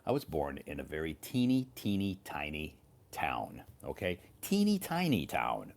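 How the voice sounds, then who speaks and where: A middle-aged man from Iowa, live-streaming a casual chat with English learners, speaking slowly and repeating words clearly. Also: rumbling low, wildly swooping pitch. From Iowa, United States. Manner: slow, repetitive, clearly enunciated for learners.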